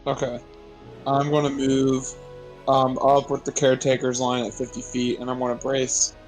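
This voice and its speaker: A man's voice: medium pitch, monotone, moderate pace, faint music behind, poor audio. A man taking part as a player in a tabletop roleplaying game, speaking casually as he states his moves.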